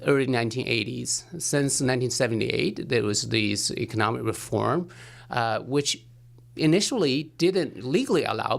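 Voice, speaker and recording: A man from China, a professor guest, speaking in an interview with many halting restarts. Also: mid-range voice, creaky. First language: Chinese. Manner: hesitant, many restarts and fillers. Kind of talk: studio interview.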